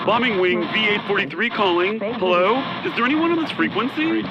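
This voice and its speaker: A man's voice: high-pitched, fairly quick, close to an everyday voice. A man playing a flirtatious, swaggering air corps lieutenant in a comedic radio drama. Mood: official. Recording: dull, noisy aircraft radio.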